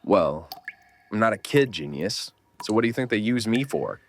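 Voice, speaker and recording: An actor playing a gruff, weary survivor with a wry, streetwise way of explaining things, in a horror audio drama. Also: male voice, fairly low pitch, sing-song, normal speed, slight background noise. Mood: wry, determined. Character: gruff, weary male survivor of a nightmare dimension.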